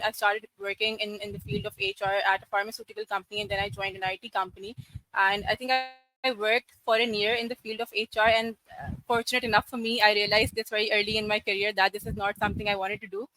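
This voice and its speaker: A woman in her twenties from Karachi, a podcast guest telling her career story in a steady, articulate, matter-of-fact way. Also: mid-range voice, fast, no room sound, poor audio. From Karachi, Pakistan. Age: 20s. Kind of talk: podcast interview.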